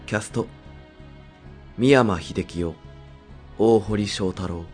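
A man reads the end credits slowly and steadily, in a low voice. His delivery is measured and announcing, with faint music under it. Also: a little mellow. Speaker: credits narrator.

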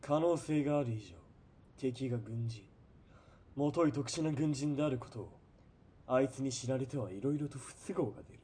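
A man playing a ruthless, greedy military police commander in a period radio drama, domineering and sneering, moving between cold murmurs and shouting. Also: mid-range voice, moderate pace, a little hiss or hum, close to an everyday voice. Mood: cold, calculating.

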